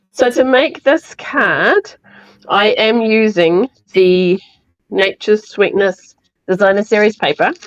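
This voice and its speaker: A woman with a New Zealand accent, sounding croaky from illness, chatting through a live crafting tutorial step by step. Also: mid-range voice, dry recording, dull audio. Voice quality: slightly hoarse. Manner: chatty, explains step by step with fillers. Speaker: craft demonstrator.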